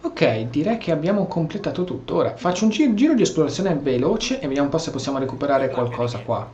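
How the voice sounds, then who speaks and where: A man's voice: on the high side, sing-song, very fast, dark tone, muffled recording, a little hiss or hum. A man with an Italian accent giving casual, playful gameplay commentary, reacting aloud as host. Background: game music.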